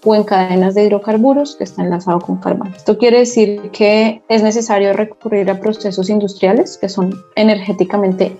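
A Colombian woman in her forties speaks with a Bogotá accent, explaining things carefully and at a measured pace, sometimes restarting a phrase. Her voice is mellow and unhurried. The audio is a little muffled and lo-fi. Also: medium pitch. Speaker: guest expert. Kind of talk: podcast interview.

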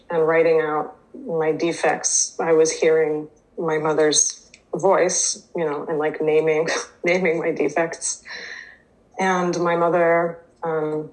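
Woman, native Hebrew speaker, low voice, hesitant reflective share. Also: a little mellow, rough recording. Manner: hesitant, many fillers and restarts. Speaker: twelve-step program member sharing. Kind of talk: reading aloud, then personal recovery share.

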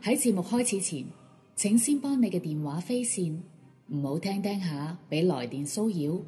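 A woman's voice: on the low side, animated, moderate pace, mellow. A woman with a Hong Kong Cantonese accent reading a scripted pre-show announcement for a radio drama. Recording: faint music under the voice. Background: faint background music.

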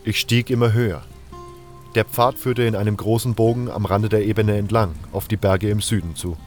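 A man with a fairly low voice narrates a fantasy audiobook. He reads scripted first-person story text over a bed of music. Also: steady tone, moderate pace.

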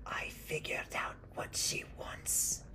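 Man voicing a whispering, raspy, eerie old woman. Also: medium pitch, lively intonation, pitched above the performer's own voice, poor audio. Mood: fearful, ominous. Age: older. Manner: slow, ominous, breathy. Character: frail, eerie old village woman, haunted and grim.

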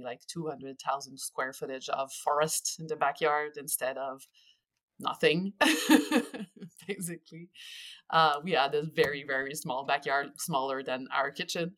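A woman in her forties with a Quebec French accent, a native French speaker, chatting as a podcast guest with frequent pauses and restarts. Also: extremely low pitch, fairly bright tone. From Quebec, Canada. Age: 40s. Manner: earnest, pauses often with fillers and restarts. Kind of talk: casual interview chat.

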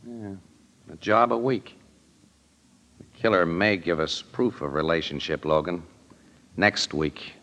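An actor playing a breezy, slangy crime photographer in a 1940s radio drama. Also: male voice, low-pitched, measured pace, pauses often, a bit bright. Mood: grave. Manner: casual, wry, slangy. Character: breezy, wisecracking male newspaper crime photographer. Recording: old radio recording with music.

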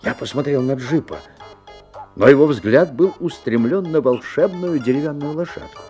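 An actor playing a wary, observant father who narrates a strange tale expressively, with theatrical pauses. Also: a little high, expressive, a little mellow, natural voice. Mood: wondering, uneasy. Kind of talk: dramatic literary narration. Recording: 1970s audio play, music behind.

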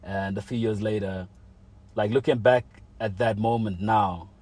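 A man's voice: lowish voice, steady tone, unhurried, darkish tone. A young man telling a personal story in casual chat, full of slang and fillers like "like" and "you know". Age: young adult.

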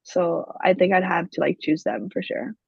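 A woman's voice: fairly low pitch, little pitch movement, moderate pace, creaky, breathy edge, dry recording. A young woman from Quebec, a native French speaker with a Québécois accent, answering interview questions casually and saying "like" constantly. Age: young adult. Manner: leans heavily on "like" as a filler. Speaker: college hockey player guest. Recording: dull lo-fi sound.

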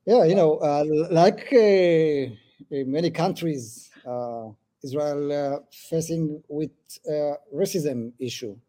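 A man in his forties from Ethiopia answers interview questions in a halting way, with many pauses and fillers. His voice is very dark and mellow, sitting a little high for a man. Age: 40s. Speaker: government official, guest. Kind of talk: podcast interview.

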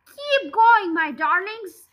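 Woman acting out urgent, high-pitched father fox. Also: expressive, slow, fairly bright tone, heavily acted. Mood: urgent encouragement. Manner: urgent, exclamatory. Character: determined father fox urging on his family.

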